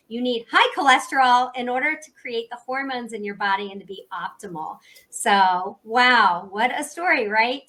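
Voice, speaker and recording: A woman's voice: fairly high pitch, fairly bright tone. A young adult woman hosting a casual podcast chat, upbeat and chatty, laughing often. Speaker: podcast host.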